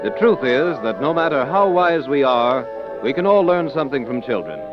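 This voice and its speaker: A dull old broadcast with faint music behind it. An American man announces in scripted vintage radio style, his voice sitting at an average pitch. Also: sing-song, normal speed.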